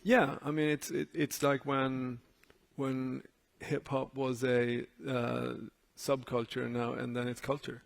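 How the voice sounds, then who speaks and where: Creaky-voiced Swedish man on a panel. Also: mid-range voice, monotone. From Sweden. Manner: halting, repeats words while thinking. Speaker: jury member on a panel. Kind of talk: unscripted panel talk.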